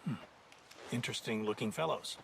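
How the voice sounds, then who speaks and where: Creaky, pompous military officer by an actor. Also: male voice, mid-range voice, fairly quick, noisy background, lightly acted. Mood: smug, dismissive. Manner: pompous, hesitating condescension. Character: condescending, pompous army colonel, dismissive and smug.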